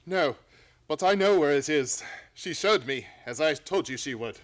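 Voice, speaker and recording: Man as commanding detective, higher pitch, very expressive, confident, secretive, radio drama. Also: moderate pace, natural voice. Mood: triumphant. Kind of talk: theatrical radio play acting.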